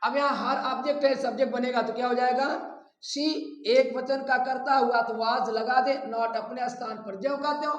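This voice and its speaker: High-pitched Indian man teaching, echoey room. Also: steady tone, moderate pace, a bit bright, muffled recording. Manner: instructional, dictates English examples word by word. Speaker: English grammar teacher. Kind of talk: step-by-step grammar lesson.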